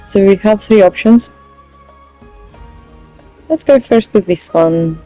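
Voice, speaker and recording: A gaming host giving casual, low-key let's play commentary, thinking aloud. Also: slow pace, dark tone, soft background music.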